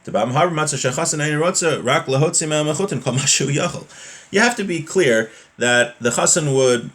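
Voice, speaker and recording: A man's voice: a little high, moderate pace, fairly bright tone. A man teaching a religious text in an informal, explanatory way, as if talking a student through it point by point. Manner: colloquial, explanatory, with rhetorical questions.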